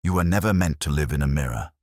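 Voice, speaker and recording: A man's voice: extremely low pitch, expressive, quick pace, no echo, clean studio sound. A man voicing a tender, resolute mother in a letter read aloud in an audiobook, solemn in manner. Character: tender, resolute mother writing to her daughter.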